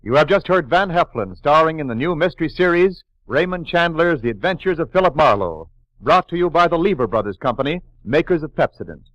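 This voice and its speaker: A man's voice: fairly high pitch, expressive, fairly quick, a bit bright, dry recording. Formal 1940s radio announcer man. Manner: formal, crisp announcer delivery. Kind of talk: scripted broadcast announcement. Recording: lo-fi 1940s radio broadcast with music. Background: music.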